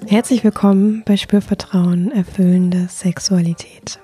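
A woman hosting her own podcast as a coach, speaking gently and reflectively, a little nasal from a cold. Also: mid-range voice, steady tone, unhurried, over music. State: has a cold, slightly nasal. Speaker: podcast host and sexual life coach. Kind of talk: solo podcast talk.

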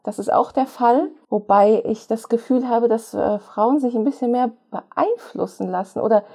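A woman's voice: fairly high pitch, normal speed, dark tone, husky. A woman talking in a casual, chatty way in conversation. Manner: chatty, laughs often while talking.

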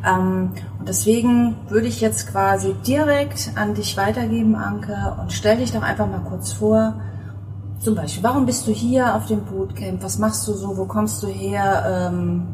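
A woman's voice: medium pitch, unhurried, darkish tone, recorded from a distance, slight background noise, low-quality recording. Chatty woman podcast host, outdoors. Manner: chatty, with many fillers and restarts. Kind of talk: casual interview. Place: outdoor terrace of an apartment. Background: passing people and cars, music in the intro.

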